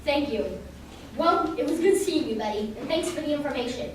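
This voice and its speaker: A woman's voice: high voice, expressive, fairly quick. A woman playing a firm detective in a radio play, recorded in a very echoey room. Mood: businesslike. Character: firm detective questioning former classmates at a crime scene. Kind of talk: amateur radio play acting. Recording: very echoey room, distant mic, faint noise.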